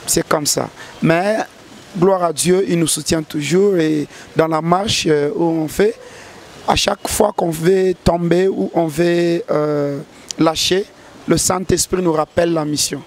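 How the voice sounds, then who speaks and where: Earnest high-voiced gospel singer. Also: male voice, fairly even intonation, a little slow, vocal fry, a little hiss or hum. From DR Congo. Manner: earnest, hesitant with repeated restarts. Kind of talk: interview answers.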